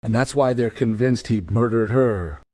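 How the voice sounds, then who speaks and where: An actor playing a measured, probing detective inspector with a husky voice in a crime radio play. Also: male voice, medium pitch, dry recording, close to an everyday voice. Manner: calm, measured questioning.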